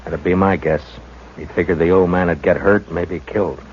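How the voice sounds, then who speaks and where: A man playing a steady, stern frontier marshal who speaks calmly and with authority in a 1950s radio drama. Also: sits low, lightly acted. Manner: calm, measured, authoritative. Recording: dull old radio recording with hiss.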